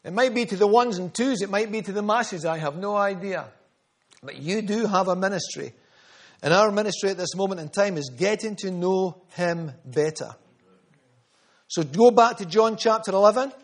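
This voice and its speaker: A man preaching a sermon, earnest and storytelling, often repeating phrases for emphasis. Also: sits high.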